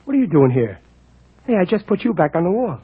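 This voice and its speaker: An actor playing a brash, soft-hearted, wisecracking milkman with a husky New voice in an old radio comedy-drama. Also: high-pitched, big pitch swings, quick pace, dry recording, exaggerated voice. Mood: smitten, flustered. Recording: dull vintage radio recording.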